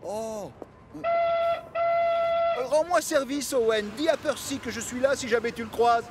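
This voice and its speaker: A man's voice: very high voice, sing-song, takes it slowly, soft background music, full character voice. A man voicing a friendly, easily hurt locomotive with a polite manner in a French-dubbed children's cartoon.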